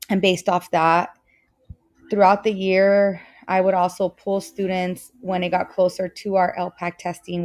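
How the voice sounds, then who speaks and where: A young woman whose first language is Spanish, giving an earnest, casual self-introduction for a course as a student teacher, with frequent pauses and restarts. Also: fairly low pitch, a little mellow, no room sound. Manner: earnest, many fillers and restarts.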